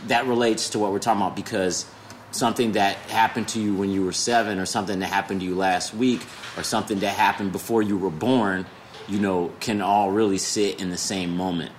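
A man's voice: on the low side, steady tone. A man in his thirties hosting a casual conversational interview, informal and salty, restarting his phrases as he explains things. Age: 30s. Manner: informal, profane, halting restarts when explaining. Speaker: podcast host. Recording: noticeable background noise.

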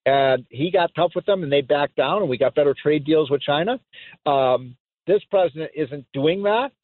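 Male radio host, fairly high voice, dry tinny phone sound, emphatic and excitable. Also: moderate pace, no room sound. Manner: emphatic, excitable, laughs often. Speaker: radio talk show host. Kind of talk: call-in talk radio.

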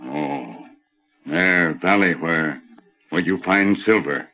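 An actor playing a loyal, terse Native sidekick who speaks in clipped broken English, in old-time radio western style. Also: male voice, sits low, slow pace, gravelly, heavily acted. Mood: puzzled, calm. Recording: muffled vintage radio recording.

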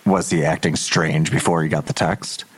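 A husky, low-voiced detective, gruff and terse. He puts short, probing questions in an audio drama, his voice rough and breathy. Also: fairly even intonation, normal speed. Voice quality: gravelly, husky.

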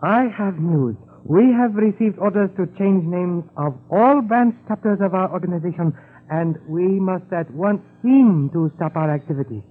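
Husky high-voiced Japanese spy chief. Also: male voice, animated, normal speed. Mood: solemn, zealous. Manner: formal, stilted, clipped English. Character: Japanese secret society chief and spy, curt, zealous. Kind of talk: 1940s radio acting. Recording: tinny, muffled 1940s radio recording.

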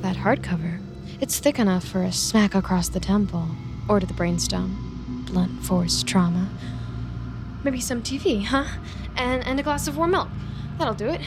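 Twitchy young woman office worker with dark urges, anxious rambling, audio drama, clear music bed played by a woman. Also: mid-range voice, moderate pace, natural voice. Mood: uneasy muttering. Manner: nervous, rambling, muttering to herself. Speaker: actress. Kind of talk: naturalistic audio drama acting. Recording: music underneath.

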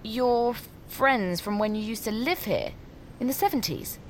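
A woman's voice: a little high, unhurried, slight background noise, close to an everyday voice. A woman playing an anxious, polite, naive young woman in an audio drama, fretting hesitantly and apologetically. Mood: worried.

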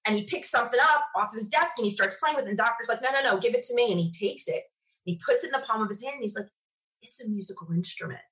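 A woman chatting casually as an interview guest, retelling things in an enthusiastic, animated manner. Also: on the high side, racing, rough recording. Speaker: podcast interview guest, sci-fi podcaster. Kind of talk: casual conversational storytelling.

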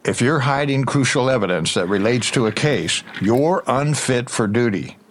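An actor playing a stern, confrontational investigator making accusations in an audio drama. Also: male voice, medium pitch, animated, moderate pace, close to an everyday voice. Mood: accusing.

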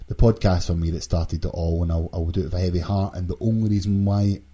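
A man's voice: sits low, level tone, quick pace, dark tone, husky. A man hosting a casual group podcast with jokey banter. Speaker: podcast host.

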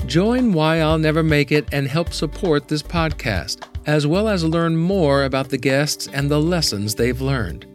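A man's voice: on the high side, lively intonation, unhurried, hardly any pauses. Man reading a promo over guitar music. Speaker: podcast host, announcer. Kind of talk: scripted promotional read. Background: plucked guitar music.

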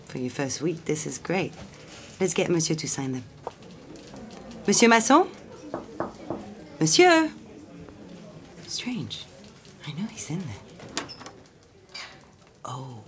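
An actress playing a polite young office secretary in an audio drama, who also narrates in the first person. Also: female voice, sits low, very animated, slow, long pauses, noisy background, exaggerated voice. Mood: bright, attentive. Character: polite, fond French office secretary, engaged young woman. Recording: music behind the voice.

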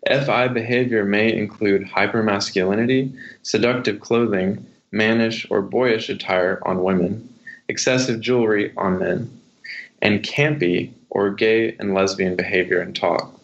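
Deep-voiced man, muffled recording. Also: fairly even intonation, unhurried, vocal fry. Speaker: author, podcast guest. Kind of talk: memoir reading and literary interview talk. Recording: muffled, dull lo-fi sound.

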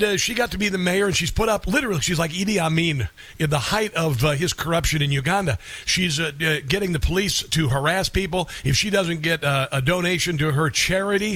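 Animated, high-voiced talk radio host man. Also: dry recording. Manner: animated, indignant, scoffing. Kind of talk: opinionated talk radio monologue.

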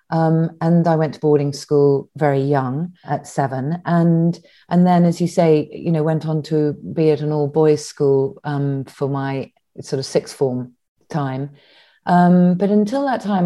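A woman speaks as an interview guest. Her voice sits low and sounds warm and mellow. She pauses often, restarts her sentences and fills gaps with ums as she thinks back. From England. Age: 60s. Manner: reflective, with many restarts and ums. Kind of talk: conversational interview.

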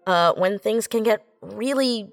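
A woman's voice: medium pitch, creaky, no room sound, faint music behind. Earnest woman in a dry recording. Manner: earnest, halting, repeats words. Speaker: podcast guest writer. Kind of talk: reflective panel conversation.

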